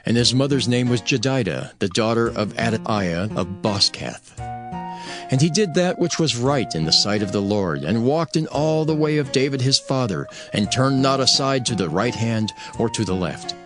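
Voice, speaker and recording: A man's voice: mid-range voice, lively intonation, moderate pace. A man narrating dramatized scripture as a solemn storyteller. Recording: voice over prominent music. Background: music.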